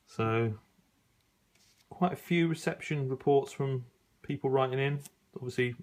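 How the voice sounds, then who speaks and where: A man's voice: medium pitch, clean tone. A man giving a casual, unscripted review as a hobbyist, hesitantly reading out listings and commenting as he goes. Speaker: radio hobbyist reviewing an old magazine. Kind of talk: casual unscripted review, leafing through pages.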